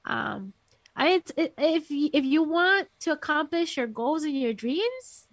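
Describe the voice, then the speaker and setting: A woman, interview guest and music teacher, with a soft, light, sweet speaking voice, chatting casually with lots of fillers over a call. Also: high-pitched, expressive, a little slow, no room sound. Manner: rambling, filler-heavy, giggly. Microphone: headphones on a call.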